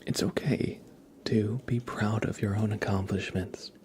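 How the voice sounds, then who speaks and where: A man hosting an ASMR livestream, giving card readings in a gentle, halting way as he thinks aloud. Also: lowish voice, steady tone. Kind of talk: whispered ASMR, casual tarot card reading.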